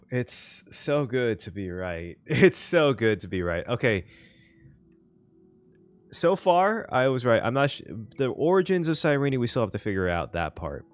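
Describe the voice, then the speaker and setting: A man streaming a reaction to a game's story, thinking aloud and getting excited when his guesses prove right. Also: medium pitch, no room sound. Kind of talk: casual reaction commentary.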